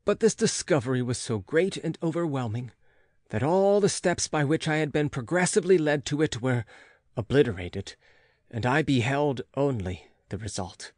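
A man reading a classic gothic novel as an audiobook narrator, in a measured, formal and dramatic delivery. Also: mid-range voice, no room sound, clean studio sound.

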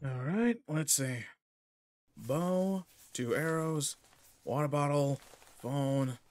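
A man's voice: fairly high pitch, slow, darkish tone, a little hiss or hum. A man playing a cocky, irritable teenage delinquent in a roleplay audio drama, talking casually and teasingly straight to the listener. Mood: muttering, bored.

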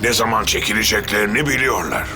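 A man voicing an arrogant, menacing giant robot warlord in a dubbed cartoon, with an imperious, gloating manner and loud music behind him. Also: on the low side, fast talker, natural voice. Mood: gloating menace. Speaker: voice actor in a dubbed animated series. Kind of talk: dramatic cartoon dub acting.

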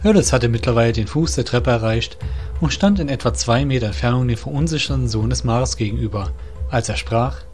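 A man's voice: medium pitch, a little fast, a little mellow. A man narrating a scripted fantasy audio drama as storyteller. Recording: music under the voice.

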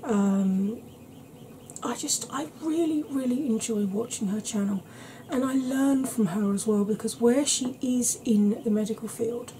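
A woman in her thirties chatting to camera at home in a soft ASMR style, rambling and often dropping into whispers. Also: fairly high pitch, unhurried, a little hiss or hum, low-quality recording. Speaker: beauty vlogger. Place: small cluttered room at home.

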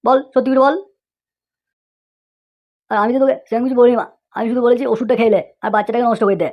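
A high, hurried voice performed by a woman for an exasperated young cartoon husband. He blusters and scolds with a short temper, and the recording is dull and lo-fi. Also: steady tone, lightly acted. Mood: accusing. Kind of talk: comic cartoon dialogue. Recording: dull lo-fi sound.

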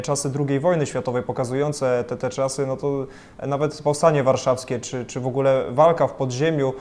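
Young male interviewer, halting questions. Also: medium pitch, darkish tone. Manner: rambling, halting questions with many restarts. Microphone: lapel.

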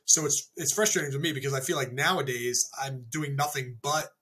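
Bright, husky man on a video call. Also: medium pitch, a little fast, poor audio. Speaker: birding podcast co-host. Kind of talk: casual chat. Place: home office. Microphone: video call webcam.

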